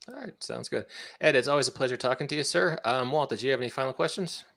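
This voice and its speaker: A man's voice: mid-range voice, fast, creaky, a bit bright. A man hosting a podcast in a casual, informal, slightly rambling way, with some self-deprecation. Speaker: podcast host. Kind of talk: casual interview chat.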